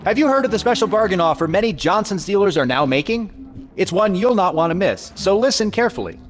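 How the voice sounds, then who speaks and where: A man playing high-voiced old-time radio pitchman. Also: animated, fast talker, faint music behind. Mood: upbeat selling. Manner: polished, emphatic salesmanship. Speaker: announcer. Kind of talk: 1940s radio advertising read.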